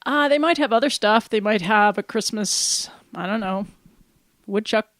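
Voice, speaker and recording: A woman's voice: mid-range voice, normal speed, fairly bright tone, no room sound, clean studio sound. A middle-aged woman co-hosting a community radio show, chatting casually, rambling and laughing often. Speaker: radio co-host. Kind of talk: casual chat. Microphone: studio microphone.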